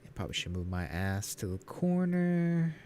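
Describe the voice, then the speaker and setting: A man's voice: on the low side, very animated, moderate pace, darkish tone, slight background noise. Sniffly, stuffed-up male streamer. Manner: rambling, profane, laid-back. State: congested, sniffly nose. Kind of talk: casual live-stream chat. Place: home.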